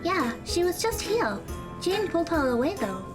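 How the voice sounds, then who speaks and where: Very high, earnest schoolgirl played by a woman. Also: very animated, moderate pace, music in the background. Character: earnest, studious high school girl, encouraging tutor. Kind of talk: audio drama acting.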